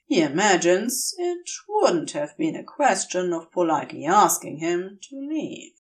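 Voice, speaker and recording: A woman's voice: fairly low pitch, very animated, a little slow, distant mic. A woman narrating a scripted piece of fiction as an audiobook-style reader. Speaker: podfic narrator.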